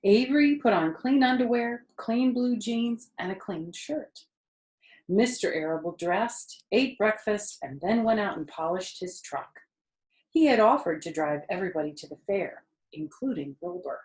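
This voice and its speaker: A woman storyteller reading a children's book expressively, lingering deliberately on the ends of phrases. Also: medium pitch, lively intonation, darkish tone, echoey room, rough recording.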